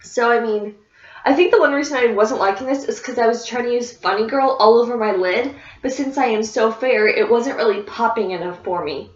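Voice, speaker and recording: A woman with a somewhat high voice, a little sniffly and congested, chats through her favorite products in an easy, rambling way, full of fillers and restarts. The room is echoey and the recording sounds dull and lo-fi. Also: fairly quick. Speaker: beauty vlogger. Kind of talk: casual product review chat.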